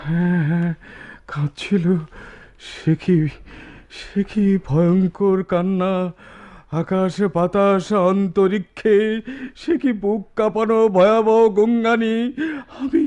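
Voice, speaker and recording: Slow, high-pitched tyrant raja. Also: male voice, fairly even intonation, a little mellow. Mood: shaken dread. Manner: declamatory, melodramatic, repeating phrases. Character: tyrannical landlord raja, haughty, raging, haunted by terror. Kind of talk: theatrical radio drama acting.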